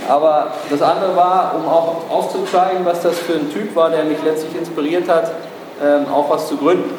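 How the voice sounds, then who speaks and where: A man's voice: on the high side, fairly even intonation, moderate pace. Man giving a talk in an echoey hall. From Berlin, Germany. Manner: storytelling, frequent hesitations, wry asides. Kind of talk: anecdotal talk to an audience. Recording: echoey hall recording with noise.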